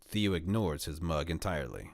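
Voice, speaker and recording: A young man with a very low, deep voice reads a visual novel aloud in the first person. His delivery is calm and even, close to a home desk mic with a dry, dead sound. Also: moderate pace, no echo. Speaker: VTuber streamer reading a visual novel.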